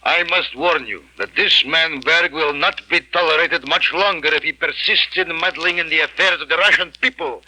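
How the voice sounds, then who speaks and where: An arrogant police captain in a vintage radio play, who speaks in a commanding, threatening tone. His voice is high and very bright. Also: normal speed, few pauses, rough recording. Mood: stern. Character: stern Russian police captain, arrogant official. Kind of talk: 1940s radio acting.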